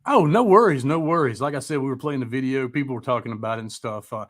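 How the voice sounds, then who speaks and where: A man's voice: medium pitch, fast. A middle-aged man hosting a live music show in casual, rambling, mildly profane interview chat.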